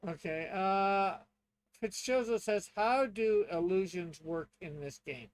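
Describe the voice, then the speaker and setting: A man's voice: high voice, takes it slowly, clean tone. A man chatting casually and thinking aloud as a player learning a tabletop game.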